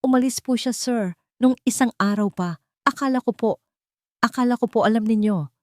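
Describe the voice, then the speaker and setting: A woman voicing a frightened, tearful elderly nanny who speaks in a halting, respectful, pleading way for an audio story. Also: a little high, no echo, clean studio sound, voice pushed up, close to an everyday voice. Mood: sobbing, anxious.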